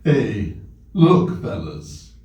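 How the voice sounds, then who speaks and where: A man playing a nervous, lonely, balding homebody with exaggerated, drawn-out delivery in a comedy table read. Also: on the low side, wildly swooping pitch, lo-fi sound, over a noisy crowd, heavily acted. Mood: awkward, forlorn. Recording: very echoey room, distant mic, audience.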